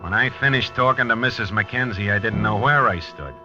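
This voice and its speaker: An actor playing a plain-spoken, probing police lieutenant in 1950s radio drama style. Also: male voice, lowish voice, fairly quick, over music, close to an everyday voice. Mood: matter-of-fact narrating. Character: dogged male police lieutenant investigating a homicide. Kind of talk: 1950s radio acting, narration and dialogue. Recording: dull 1950s radio recording.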